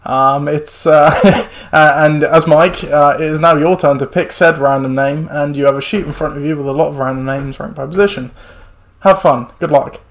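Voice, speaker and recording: Slightly hoarse man hosting a sports podcast. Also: on the high side, fairly even intonation, moderate pace. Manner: rambling, lots of fillers and restarts. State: hoarse, losing his voice. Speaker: podcast host. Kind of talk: casual sports chat.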